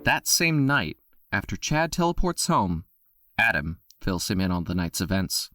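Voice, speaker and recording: A man narrating an audio drama with expressive storytelling. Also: low voice, measured pace, soft background music.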